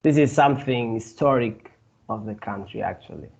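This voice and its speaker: A man from Argentina with an Argentine Spanish accent, a guest in a casual interview who speaks haltingly, with many fillers and restarts. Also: lowish voice, animated, gravelly, a little mellow, breathy edge, highs cut off.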